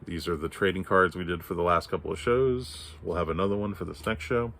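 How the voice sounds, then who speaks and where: A man's voice: deep voice, fairly quick, creaky, a bit bright. A man from Texas chatting casually about his comic book haul, his voice scratchy from allergies, rambling with fillers and restarts. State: hoarse, scratchy throat from allergies. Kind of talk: casual show-and-tell chat.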